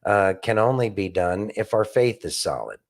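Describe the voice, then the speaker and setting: A man's voice: fairly low pitch, expressive, normal speed. A man co-hosting a political talk podcast, speaking in a blunt, emphatic and indignant way. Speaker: podcast co-host.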